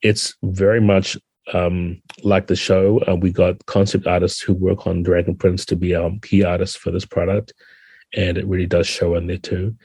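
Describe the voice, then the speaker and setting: A man's voice: sits low, flat delivery, moderate pace, a little mellow, slightly rough, no room sound. A man speaking as an interview guest, thoughtfully explaining game design in a conversational way.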